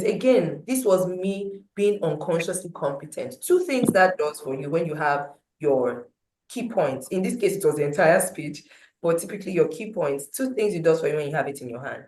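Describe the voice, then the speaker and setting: Woman speaker, low loud voice, lively animated storytelling, roomy echo. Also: a little hiss or hum. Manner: animated storytelling with big swings in pitch and loudness. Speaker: motivational speaker. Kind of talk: storytelling talk at a breakfast meeting.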